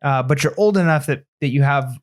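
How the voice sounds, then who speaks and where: Steady man hosting a podcast. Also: a little high, fairly quick. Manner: steady, explanatory, guides the talk. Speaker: podcast host. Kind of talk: casual chat.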